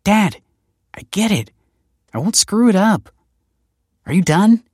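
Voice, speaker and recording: A man's voice: sits high, sing-song, takes it slowly, a little mellow, slightly rough, dry recording, studio quality, voice pushed up, heavily acted. A man voicing an exasperated young adult daughter in an audiobook, whiny and impatient.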